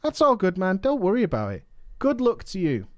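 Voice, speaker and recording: A man's voice: sits high, wildly swooping pitch, brisk. A man streamer reading a viewer's story aloud and breaking in with emphatic, mocking asides.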